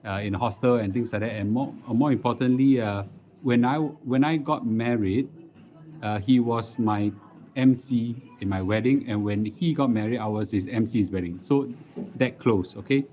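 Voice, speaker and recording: A middle-aged man with a Singaporean accent chatting casually as a livestream host, halting and repeating words as he goes. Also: mid-range voice, mellow. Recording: dull lo-fi live stream audio.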